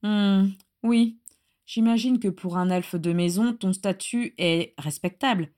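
A woman voicing a condescending male dark lord who speaks softly and slowly with false courtesy, in a fantasy story reading. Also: medium pitch, expressive, close to an everyday voice. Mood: silky contempt. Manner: soft, caressing, slow, falsely courteous.

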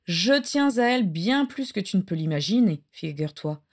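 A woman voicing a condescending male dark lord who speaks softly and slowly with false courtesy, in a fantasy story reading. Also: mid-range voice, sing-song. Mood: mock courtesy. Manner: soft, caressing, slow, falsely courteous.

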